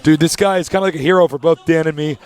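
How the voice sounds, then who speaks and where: A man's voice: on the high side, fairly even intonation, racing. A young man doing live esports play-by-play in a packed studio, hyped, joking and announcer-like. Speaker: esports commentator.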